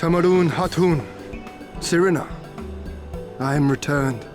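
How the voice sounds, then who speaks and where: An actor playing an earnest, resolute young prince who speaks in a formal, archaic, measured way. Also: male voice, fairly high pitch, music in the background, lightly acted. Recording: game soundtrack under the voice.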